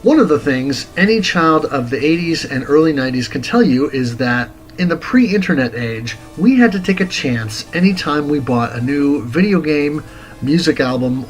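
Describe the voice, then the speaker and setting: A man of forty reading a scripted retro game review as host, in a deliberate, articulate, wordy way. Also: mid-range voice, rough recording. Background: music.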